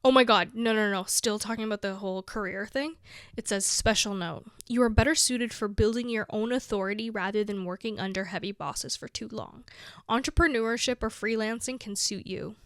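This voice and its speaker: A woman's voice: medium pitch, a little fast, fairly bright tone, smooth, dry recording, clean studio sound. A woman in her twenties chatting casually as a vlogger, giggling often and filling her talk with "like.". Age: 20s. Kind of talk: casual chat to camera.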